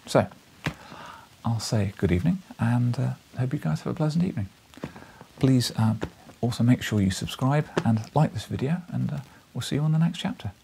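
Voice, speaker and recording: A British man in his thirties, an audiobook reader with an expressive, informal delivery. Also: medium pitch, moderate pace, vocal fry, a little hiss or hum, poor audio. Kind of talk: expressive story narration and casual address. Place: small book-lined living room, indoors.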